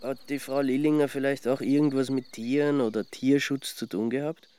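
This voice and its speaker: A man's voice: medium pitch, steady tone, normal speed, mellow, lightly acted. An actor playing an inquisitive, sceptical man with an Austrian accent in a radio crime drama, casual and questioning. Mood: curious. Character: inquisitive, sceptical man asking about a murder case. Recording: music behind the voice.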